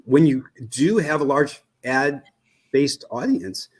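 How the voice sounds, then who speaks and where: An American man giving conversational, analytical interview answers as an expert guest, thoughtful and halting, often restarting his phrases. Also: mid-range voice, expressive, breathy edge. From United States. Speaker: media research executive, interview guest.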